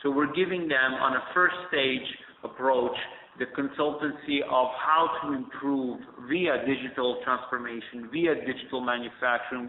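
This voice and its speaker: A man answering analyst questions on an earnings call, brisk and fluent with fillers. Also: mid-range voice, a little hiss or hum. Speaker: company executive. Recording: echoey tinny phone line with reverb.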